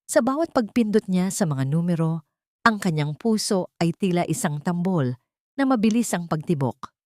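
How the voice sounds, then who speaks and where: A woman's voice: lowish voice, lively intonation, moderate pace, darkish tone, no echo, clean studio sound. A woman narrating a scripted dramatic story in a solemn, emotive storytelling manner.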